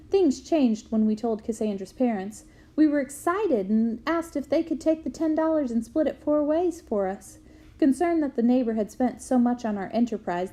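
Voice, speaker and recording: Mellow woman reading a book aloud. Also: fairly high pitch. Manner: clear storytelling, bursts into loud shouts for quoted yells.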